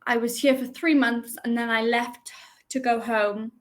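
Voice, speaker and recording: A woman's voice: fairly high pitch, fairly even intonation, measured pace. A young woman from the UK who teaches English, chatting casually on a video call with lots of ums and hesitations. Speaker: online English tutor.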